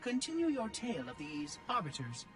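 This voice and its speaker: An actor voicing a prim, inquisitive ancient machine oracle in a video game, precise and fussy in speech. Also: male voice, high voice, wildly swooping pitch, moderate pace. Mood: cool, pedantic. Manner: precise, articulate, probing, fussy. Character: prim, inquisitive ancient robot oracle, male, coolly pedantic. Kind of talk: video game cutscene dialogue. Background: music.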